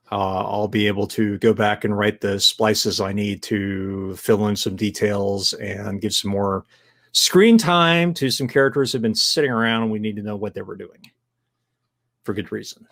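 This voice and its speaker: A man's voice: lowish voice, creaky. A man co-hosting a podcast, chatting casually about his writing, rambling and swearing, laughing often. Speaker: podcast co-host, novelist. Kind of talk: casual chat.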